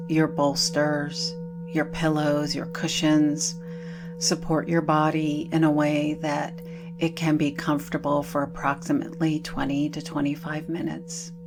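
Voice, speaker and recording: A woman leading a guided meditation in a soothing manner, leaving long pauses between phrases. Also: sits low.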